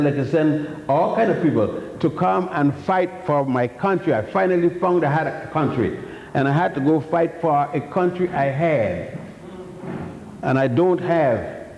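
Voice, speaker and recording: Older man, high voice, blunt defiant lecturer, echoey hall, dull lo-fi sound. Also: darkish tone. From Ethiopia. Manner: blunt, defiant, rambling with many restarts. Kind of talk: public history lecture.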